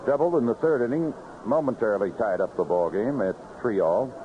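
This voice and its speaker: Guy calling a 70s baseball game on the radio, really lively pitch swings, super noisy muffled old recording. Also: a little fast. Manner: clipped phrases, vivid descriptive calls. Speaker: baseball play-by-play announcer. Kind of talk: 1970s radio baseball commentary. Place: ballpark broadcast booth. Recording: noisy, band-limited 1978 AM radio broadcast.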